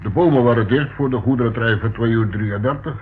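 A man's voice: medium pitch, quick pace, lightly acted. An actor playing a plain-spoken, helpful working man in a radio play, with a homely, slightly hesitant manner. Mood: matter-of-fact. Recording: tinny, faintly noisy old radio recording.

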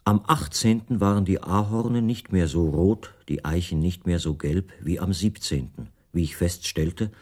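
Deep, dark-voiced solitary male writer. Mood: reflective, subdued. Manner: reflective, measured, restrained. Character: captive male writer soberly recounting his own story, solitary and observant. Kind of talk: literary first-person radio play monologue.

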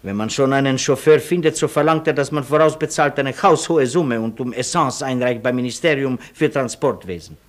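An actor playing a Polish colonel's cheeky servant in a radio play, sardonic, grumbling and mock-deferential. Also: male voice, mid-range voice, a little fast, few pauses, lightly acted. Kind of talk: comic radio play acting.

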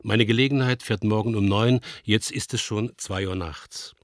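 A man's voice: fairly low pitch, moderate pace, dry recording, lightly acted. Tender, worried older man, dry sound actor. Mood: tender, practical. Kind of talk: radio play, letter read as monologue.

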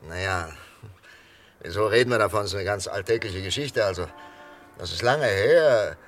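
A man playing a calm, coaxing psychiatrist in a 1960s German radio thriller, talking in a hesitant, conversational way. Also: lowish voice, normal speed, faint music behind, close to an everyday voice. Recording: 1963 radio recording, faint noise.

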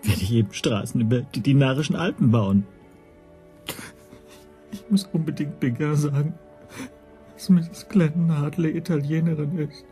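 A man's voice: a little high, normal speed, pauses often, dark tone, soft background music, close to an everyday voice. An actor playing a wry, resigned man musing on his fate in a German radio drama.

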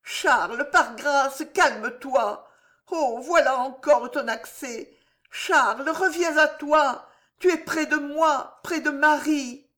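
A reader voicing a pleading royal mistress. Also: female voice, high-pitched, takes it slowly, pitched above the performer's own voice. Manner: soft, imploring. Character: king's devoted young mistress, anxious and pleading.

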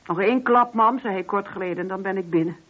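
A woman's voice: medium pitch, fast talker, husky, close to an everyday voice. A woman playing a grieving, talkative, wistful mother in a Dutch radio play. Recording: dull, muffled old radio recording.

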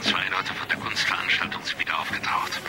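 Male actor as grim informant in radio drama: deep, dry, ominous news-bearer. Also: fairly even intonation, brisk, natural voice, rough recording.

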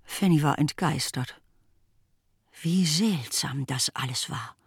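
Eine Frau erzählt als Sprecherin eines literarischen Hörspiels, getragen und ausdrucksvoll. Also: female voice, deep voice, takes it slowly, creaky, slightly rough, dry recording, clean studio sound. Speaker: narrator. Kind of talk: scripted literary narration in a radio drama.

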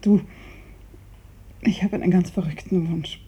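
An actress playing a gravely ill, bedridden woman in a 1950s radio drama, fearful and imploring, her weak, halting voice often sinking to a whisper. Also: fairly low pitch, fairly even intonation, mellow. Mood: weak, wistful. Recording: muffled 1950s radio recording.

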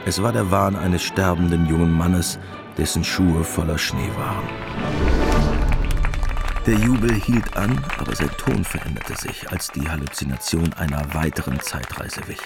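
A man's voice: low voice, moderate pace, over loud music. A man giving a scripted literary narration as the narrator of a radio drama.

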